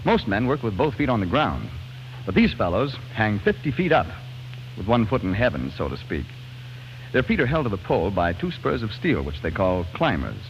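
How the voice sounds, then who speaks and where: An American man delivers polished, emphatic announcer copy in 1940s radio style. His voice is fairly low and moves through lively swings of pitch. It comes through a dull vintage broadcast with some hiss. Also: moderate pace. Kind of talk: 1940s radio announcing, scripted sponsor narration.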